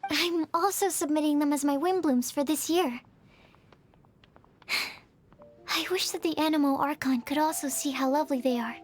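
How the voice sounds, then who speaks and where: A woman voicing a shy, kind young researcher in a video game, speaking in a gentle, earnest way. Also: sits high, normal speed, pauses often, soft background music, close to an everyday voice.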